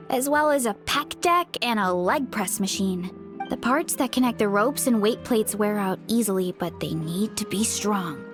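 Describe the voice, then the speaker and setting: A woman's voice: sits high, fairly bright tone. A woman voicing a cheerful, easygoing young fruit seller in an anime-style video game, friendly and earnest. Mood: earnest, explaining. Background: game music.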